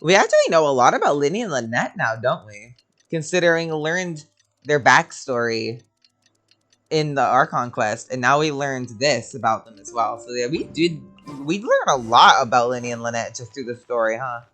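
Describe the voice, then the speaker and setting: A man's voice: medium pitch, big pitch swings, normal speed, pushed and bright, soft background music. A man giving casual, sweary commentary while playing a video game. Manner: swears freely, chuckly.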